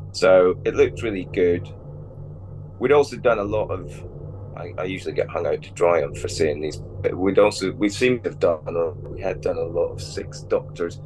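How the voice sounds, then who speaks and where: A low-voiced man whose cold leaves his voice gravelly and rough. He talks in a relaxed, rambling way and often corrects himself mid-sentence. A steady hiss of noise sits under the recording. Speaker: toy company creative director, guest. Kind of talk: casual interview chat.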